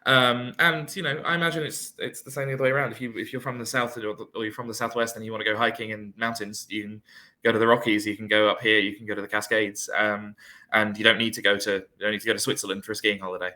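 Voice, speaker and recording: Bright-voiced British man chatting quickly. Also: on the low side, level tone. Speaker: guest in a friendly conversation. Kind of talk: casual conversational chat.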